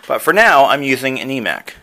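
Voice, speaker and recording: A man's voice: medium pitch, sing-song, a little fast, sharp tone. A man presenting a hobby tutorial, casually talking through each step with enthusiasm.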